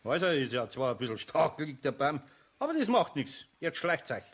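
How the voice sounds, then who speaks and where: An older Bavarian craftsman in a radio play, with a fairly high male voice. He grumbles and scolds in broad dialect, yet stays good-natured underneath. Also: big pitch swings, moderate pace, slightly rough, full character voice. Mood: gruff, relenting. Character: gruff but kindly older master craftsman. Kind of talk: children's audio play acting.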